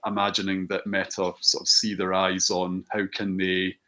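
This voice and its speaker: A man with a fairly low voice presents a product informally as a company director. His delivery is conversational, with hesitant phrasing and words that he often repeats as he explains. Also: monotone, moderate pace, pushed and bright.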